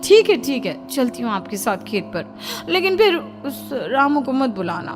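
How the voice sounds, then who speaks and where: A woman's voice: high voice, sing-song, measured pace, music in the background, pitched above the performer's own voice. A woman voicing an anxious, bashful young village wife who protests and pleads in a horror story. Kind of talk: horror audio story acting.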